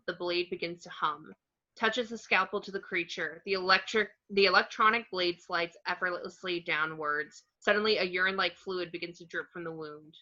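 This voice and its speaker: Bright, forceful woman narrating a script. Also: on the low side, lo-fi sound. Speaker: reader of stage directions.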